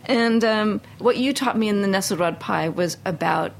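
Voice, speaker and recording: A woman's voice: mid-range voice, normal speed, gravelly, slight background noise. A woman interviewing a guest conversationally, admiring and feeling her way through her questions. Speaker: interviewer, magazine food editor.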